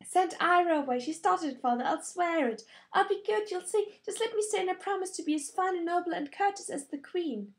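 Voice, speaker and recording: A woman's voice: very high voice, a little fast, few pauses, pitched above the performer's own voice. A woman voicing a dramatic, petulant young noble girl, haughty and pleading, in an audiobook story.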